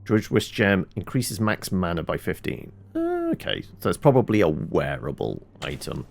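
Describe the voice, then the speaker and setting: A man's voice: low voice, wildly swooping pitch, moderate pace, gravelly. A man giving casual, chatty let's play commentary, rambling as he thinks aloud, with music behind him. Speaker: game streamer, host.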